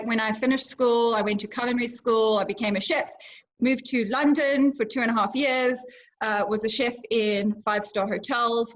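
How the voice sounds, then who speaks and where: A woman's voice: fairly high pitch, poor audio. A young woman with a South African accent, chatting cheerfully as an interview guest. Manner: cheerful, chatty, laughs easily. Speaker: guest, chef and influencer. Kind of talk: casual interview chat.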